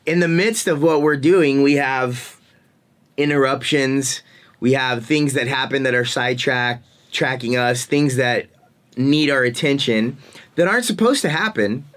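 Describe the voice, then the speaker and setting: A man's voice: mid-range voice, a little slow, fairly bright tone. A man in his forties hosting a podcast, rambling casually and laughing easily. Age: 40s. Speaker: podcast host. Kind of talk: casual interview chat.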